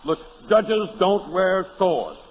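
A bossy, exasperated Hollywood director from a 1930s radio comedy. The voice is high and swings widely, on a muffled old recording. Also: male voice, very animated, takes it slowly, in a large hall, a little hiss or hum, heavily acted. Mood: bossy, impatient.

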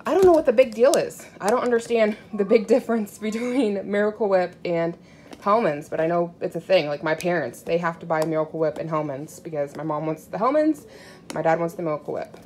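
Female home vlogger, American, lowish voice, chatty and candid, noisy handheld phone sound. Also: a little fast, a little mellow. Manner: rambling, conversational, candid. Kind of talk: casual chat showing groceries to camera. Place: indoors in a home kitchen. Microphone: handheld phone at arm's length. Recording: clearly noisy home recording, crinkling packaging.

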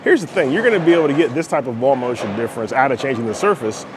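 A man talks through a bowling ball test in a loose, explanatory way, dropping "right?" and fillers as he goes. His voice sits at an ordinary middle pitch. The clatter and hum of a bowling alley carry clearly behind him. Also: lively intonation, very fast. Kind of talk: casual product demo commentary.

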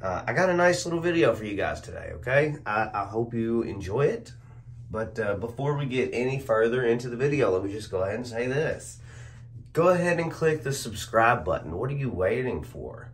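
A young man with a hoarse voice reviewing soap in a casual, laid-back way, recorded on a phone camera at arm's length in a small room at home. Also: medium pitch, expressive, brisk. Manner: laid-back, chuckles at his own jokes. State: hoarse, losing his voice. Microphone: phone or webcam at arm's length.

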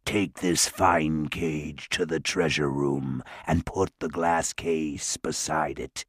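A man doing a gloating, deep-voiced dwarf lord. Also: fairly even intonation, breathy edge, dry recording, clean studio sound, exaggerated voice. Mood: sneering. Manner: slow, gloating and menacing. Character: haughty, scheming dwarf lord, male, adult. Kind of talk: audiobook character voice.

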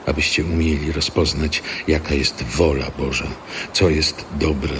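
A man's voice: rumbling low, fairly even intonation, unhurried. An actor playing an earnest male apostle exhorting fellow believers in a dramatic scripture reading. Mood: earnest exhortation. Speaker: actor in an audio Bible. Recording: clear background ambient noise.

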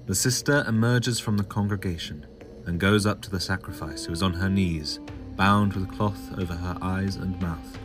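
Male narrator, deep voice, scripted dramatic storytelling, prominent music bed. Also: normal speed.

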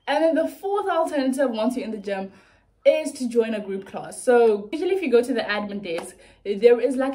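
A young woman from South Africa giving casual, chatty advice to viewers in a playful, animated way. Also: fairly high pitch. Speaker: fitness vlogger.

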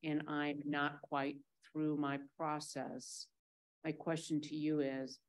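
A woman with a low voice questions staff at a council meeting through a desk microphone. She is direct and conversational, working through her questions out loud. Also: level tone, slow pace. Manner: direct, conversational, thinks aloud while asking.

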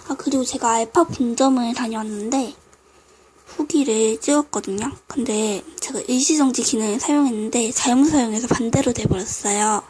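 A woman's voice: a little high, moderate pace, a little mellow, slightly rough. A woman with a cold casually narrating a craft tutorial, apologetic and muttering self-critical asides. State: voice affected by a cold. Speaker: craft video host. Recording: noisy home recording with clicks.

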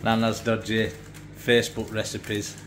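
Low-voiced teasing man behind the camera. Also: little pitch movement, unhurried, noisy background. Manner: teasing, laughs a lot. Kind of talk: casual chat behind the camera. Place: home kitchen. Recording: kitchen with clattering dishes.

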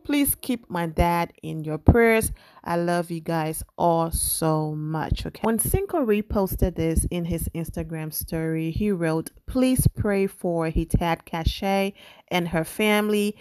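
A woman with a Liberian accent runs a celebrity gossip vlog, chatting to her audience and tagging her points with a quick "okay?". Her voice sits a little low for a woman. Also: darkish tone, clean tone. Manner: chatty, emphatic asides with "okay?". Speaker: entertainment news vlogger. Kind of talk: casual gossip commentary.

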